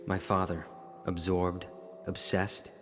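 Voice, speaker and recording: An actor playing a grown man recalling his boyhood, in reflective, measured first-person drama narration. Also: low voice, level tone, background noise. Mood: wistful.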